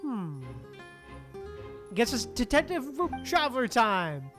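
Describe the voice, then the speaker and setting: A woman's voice: on the high side, big pitch swings, slow, over music. A woman streaming a game with casual, musing, sweary commentary, reading game text aloud.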